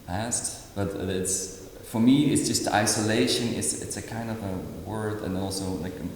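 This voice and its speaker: A man in his forties, originally from Indonesia, speaking in an interview as an artist, halting with many fillers and restarts. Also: on the low side, darkish tone. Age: 40s. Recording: echoey hall with faint noise.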